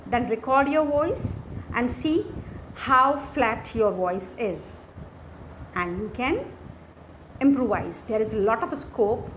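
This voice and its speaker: A woman with an Indian accent teaching a lesson from slides in a deliberate way, repeating key phrases. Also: a little high, expressive, a bit bright, slight background noise, rough recording. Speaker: teacher, lecturer. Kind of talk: instructional lesson explaining slides.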